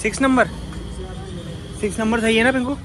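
High-pitched man, noisy street vlog. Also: fairly even intonation, fairly quick. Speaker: vlogger filming a market tour. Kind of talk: casual street vlog chat. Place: outdoor street market. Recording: loud busy street noise, crowd and traffic.

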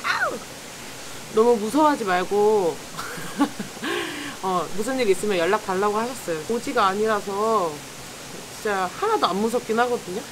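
A woman's voice: medium pitch, very animated, a little slow, noisy background. A woman, chatting casually and laughing easily while she vlogs a solo camping trip at a campsite. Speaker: camping vlogger. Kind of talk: casual solo vlog chat. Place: campsite in a valley outdoors.

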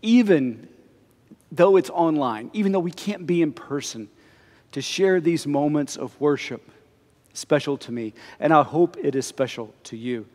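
A middle-aged man, a pastor, preaching a conversational, expressive sermon while standing before the altar. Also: fairly high pitch, a little slow. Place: church sanctuary before the altar. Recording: long reverb of a church sanctuary.

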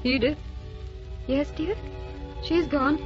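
An actress playing a gentle, longing, ever-young wife in vintage radio drama style. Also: female voice, sits high, slow. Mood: tender. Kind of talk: 1930s radio drama acting. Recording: dull hissy vintage radio recording with music.

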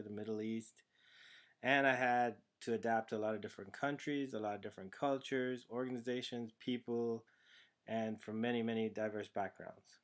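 A man from Trinidad and Tobago talks through his student video essay in an earnest, steady way, partly reading, with an occasional "uh". His voice sits in a middle pitch for a man. He records on a built-in webcam in a small room at home. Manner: earnest, steady, partly read, with some "uh" fillers. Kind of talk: prepared personal presentation. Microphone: built-in webcam microphone, close framing.

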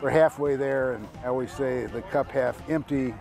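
Older man in his 70s, gravelly voice, upbeat meet organizer; outdoor interview over background music. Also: medium pitch, steady tone, normal speed. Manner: upbeat, promotional. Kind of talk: documentary interview.

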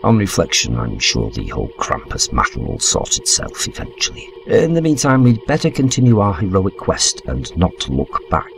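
A man's voice: lowish voice, wildly swooping pitch, normal speed, few pauses. A man playing a pompous, exasperated space adventurer, indignant and long-winded. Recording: distant mic, faint noise.

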